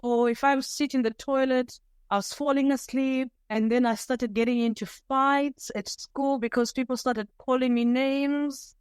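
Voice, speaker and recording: Smooth-voiced woman from Zimbabwe. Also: high-pitched, no echo. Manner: candid, animated storytelling with pauses and restarts. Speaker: podcast guest. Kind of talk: personal storytelling interview.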